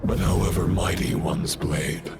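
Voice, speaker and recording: A man's voice: sits low, fairly even intonation, measured pace, gravelly. A man playing a grim, world-weary fallen warrior in a video game, speaking in solemn, grandiose oratory. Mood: solemn, grave. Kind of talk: dramatic video game voice acting. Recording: loud music under the voice.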